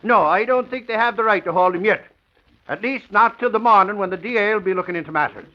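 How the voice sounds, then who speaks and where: An actor playing an excitable, garrulous newspaperman, emphatic and blustery in 1940s radio style. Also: high voice, fast, lightly acted. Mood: excited. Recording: muffled vintage radio recording.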